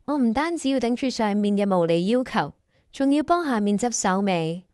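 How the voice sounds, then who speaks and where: A woman's voice: mid-range voice, expressive, normal speed, a little mellow, no room sound, studio quality. A woman with a Hong Kong Cantonese accent hosting and narrating a scripted workplace audio drama. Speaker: host and narrator.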